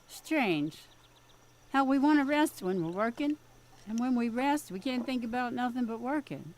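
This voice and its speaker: A woman's voice: a little high, lively intonation, moderate pace, a little mellow, a little hiss or hum, natural voice. A woman playing a wry, plainspoken farm mother in an audio drama, telling homespun, rambling stories in a conversational way. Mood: wry, musing. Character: folksy farm mother, wry, plainspoken, remorseful about her son.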